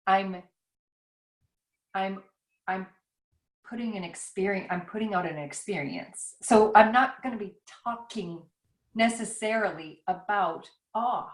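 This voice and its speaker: A woman's voice: medium pitch, measured pace, pauses often. Rambling, reflective woman in an echoey room. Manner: rambling, reflective, self-questioning. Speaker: author. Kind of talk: casual conversation over a call. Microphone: video call. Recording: roomy echo.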